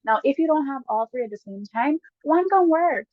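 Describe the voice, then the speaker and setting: A woman with a Guyanese accent, a guest casually telling stories with lively bursts and frequent laughs. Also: sits high, no room sound. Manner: lively, laughs often, quick bursts when excited. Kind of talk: casual storytelling chat. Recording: dull lo-fi dry sound.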